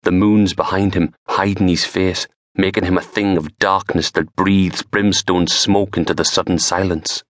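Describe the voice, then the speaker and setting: A middle-aged man reading a crime novel aloud in a dramatic, measured storytelling manner. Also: low-pitched, flat delivery, dry recording, studio quality. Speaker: author reading own audiobook. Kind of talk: scripted crime fiction narration.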